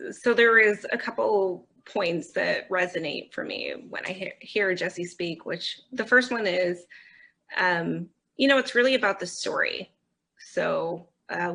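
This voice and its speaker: A woman's voice: lowish voice, vocal fry, sharp tone. A woman, an executive on an interview panel, explaining things in an earnest, conversational way.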